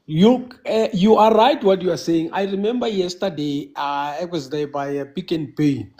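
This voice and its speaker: A South African man whose first language is Xhosa, calling in to a casual live chat and talking haltingly, with many restarts and fillers. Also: on the high side, darkish tone. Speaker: caller.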